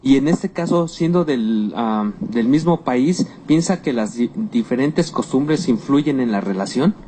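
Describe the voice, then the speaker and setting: A man asking survey questions as an interviewer, a little haltingly. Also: medium pitch, lively intonation, warm tone. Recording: slightly noisy on-location interview recording.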